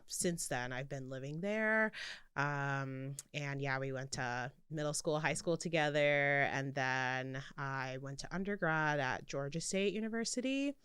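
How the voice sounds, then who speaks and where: A young woman from Trinidad speaks in a low, crisp, very clear voice with a bright edge. She chats casually as a podcast guest, laughing easily and filling pauses with "like" and "um." The sound is dry and close. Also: no room sound. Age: 20s. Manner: giggly, laughs often, many fillers. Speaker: podcast guest, medical student. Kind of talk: casual chat.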